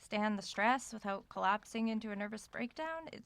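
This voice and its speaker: An actress playing a brittle, defensive young woman novelist in a horror audio drama, with clipped, strained delivery and a creaky, gravelly voice. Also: mid-range voice, animated, brisk, a bit bright, slight background noise. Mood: irritated, defensive. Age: young adult. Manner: clipped, strained replies to her mother.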